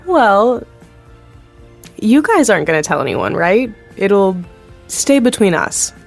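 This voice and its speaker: A friendly producer guest in an audio drama, her voice pitched mid-range and her chat easy, with a giggle and a conspiratorial tone. Light music plays behind her. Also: very animated, slow pace, gravelly, full character voice.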